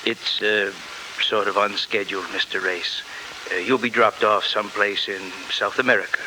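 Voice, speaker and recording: A noisy old radio recording carries a lowish-pitched villain , acted by a man. He is a suave smuggler who speaks with genteel hesitations and smooth, polite menace, in 1940s radio drama style. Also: steady tone, normal speed, natural voice.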